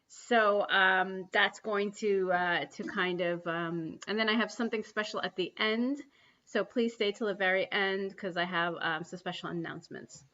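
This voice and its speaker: A woman's voice: medium pitch, steady tone, moderate pace, bright, forceful tone. A woman from New City, hosting a spiritual livestream and talking earnestly with many ums and restarts. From New York City. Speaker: livestream host. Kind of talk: spiritual livestream talk and guided prayer. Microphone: computer mic on a livestream. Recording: dull lo-fi livestream audio.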